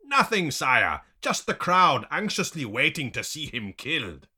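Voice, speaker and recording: A man's voice: fairly high pitch, very animated, measured pace, pushed and bright, husky, pitched above the performer's own voice, full character voice. A man voicing an obedient, deferential male henchman in a story. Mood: dutiful. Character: obedient male henchman reporting to his lord.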